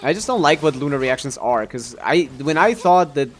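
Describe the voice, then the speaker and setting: A man's voice: a little high, lively intonation, fairly quick. Man rambling casually on a game stream.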